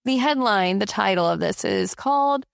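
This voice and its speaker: A woman co-hosting a podcast in casual chat, an outspoken flight instructor who talks with animated emphasis. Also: mid-range voice, moderate pace, vocal fry, dry recording, clean studio sound.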